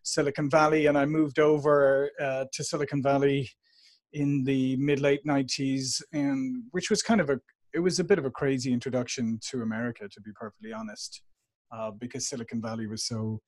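A man in his forties speaks with a warm Dublin Irish accent. He talks in a lively, conversational way, full of restarts and small fillers. His pitch sits in the middle range. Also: a little fast. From Ireland. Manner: animated, restarts sentences, frequent "uh". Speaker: podcast guest. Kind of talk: conversational interview.

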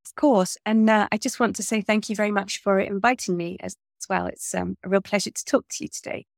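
A woman's voice: mid-range voice, steady tone, brisk, gravelly, no echo, clean studio sound. A middle-aged woman from England, a guest in a podcast interview, talking in a chatty, thoughtful way with many fillers and restarts.

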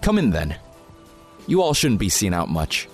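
A man's voice: sits low, huge pitch swings, moderate pace, creaky, soft background music, heavily acted. A man playing a dry, wary protest reporter with a sardonic, blunt manner in a fiction podcast. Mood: blunt concern.

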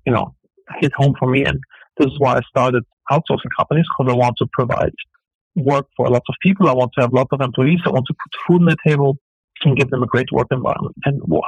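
Fast, gravelly man with a German accent. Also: mid-range voice, no room sound, low-quality recording. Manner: earnest, fast, full of fillers. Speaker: entrepreneur guest. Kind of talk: radio interview answers.

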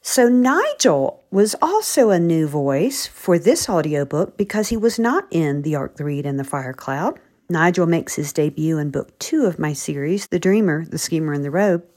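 A woman with a slightly low voice interviews for a podcast. She is chatty and expressive, with lively pitch movement, and sounds like an author presenting her work. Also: normal speed.

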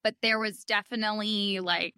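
A woman's voice: mid-range voice, steady tone, normal speed, pushed and bright, smooth, no echo, studio quality. A young woman co-hosting a casual TV recap chat, telling anecdotes emphatically in very informal speech. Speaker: podcast co-host.

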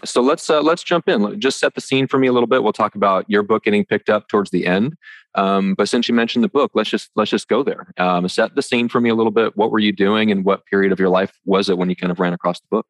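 A man's voice: on the low side, fast talker, no room sound. A man from the American Midwest hosting a podcast interview, friendly and rambling. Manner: friendly, rambling with fillers and restarts. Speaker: podcast host.

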